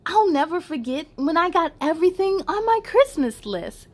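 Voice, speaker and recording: Female children's read-aloud host, high voice, animated and playful storytelling delivery. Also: normal speed. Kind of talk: expressive read-aloud for children with chatty asides.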